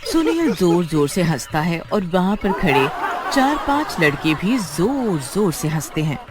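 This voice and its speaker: A woman's voice: on the low side, big pitch swings, unhurried. A woman giving scripted narration as the storyteller of a moral tale.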